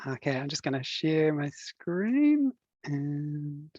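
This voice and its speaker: A man chatting casually on a video call, relaxed and hesitant with fillers as he introduces himself and presents. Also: on the high side, slow, dry recording. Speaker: workshop presenter. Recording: dull lo-fi call audio.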